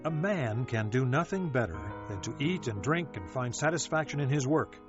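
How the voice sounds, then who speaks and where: A man narrating a dramatized scripture audiobook in a solemn, measured manner, with deliberate pauses. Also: mid-range voice, expressive. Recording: slightly dull audio with music behind. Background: music.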